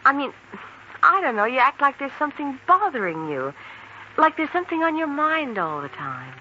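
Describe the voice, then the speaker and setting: A woman's voice: sits high, very animated, moderate pace, sharp tone, highs cut off, full character voice. A woman playing a friendly young hospital receptionist, chatty, teasing and curious, in 1940s radio drama style. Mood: teasing, probing. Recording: old radio recording, faint noise.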